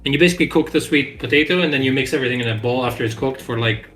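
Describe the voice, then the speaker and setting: A man's voice: medium pitch, racing, fairly bright tone. A man on a game dev stream, chatting casually, rambling with restarts and tossing in swear words. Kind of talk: casual chat on a live stream.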